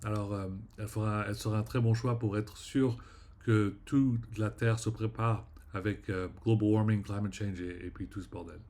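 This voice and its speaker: A man talks straight to his viewers in a loose, rambling monologue, his voice sitting a little low. He halts often, fills gaps with hesitations and restarts, and lets his phrases fade away quietly at the end. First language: French. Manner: rambling, halting, many fillers and restarts; trails off quietly at ends of phrases. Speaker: vlogger. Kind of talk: casual vlog monologue.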